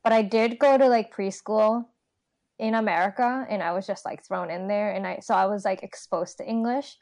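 A woman's voice: medium pitch, steady tone, moderate pace, darkish tone, no room sound. A woman in casual conversation with a middle-range voice, wandering through her thoughts with restarts and small laughs at herself. Japanese was her first language. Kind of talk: casual chat.

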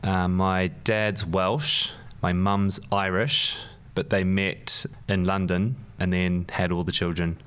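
A young man with a New Zealand accent talks as a podcast guest, his voice very low. He rambles casually, filling gaps with ums and laughing easily. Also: little pitch movement, takes it slowly, hardly any pauses, a bit bright, clear, resonant tone. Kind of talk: casual conversation.

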